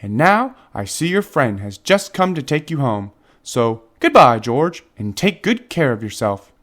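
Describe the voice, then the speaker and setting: A man voicing a forgiving, kindly hospital director in a children's storybook, measured and reassuring. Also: mid-range voice, big pitch swings, a bit bright. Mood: kindly, grateful.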